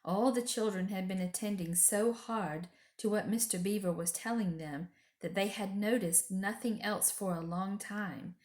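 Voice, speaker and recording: A woman's voice: medium pitch, normal speed, hardly any pauses, darkish tone, echoey room. A woman reading a children's book aloud as a storyteller.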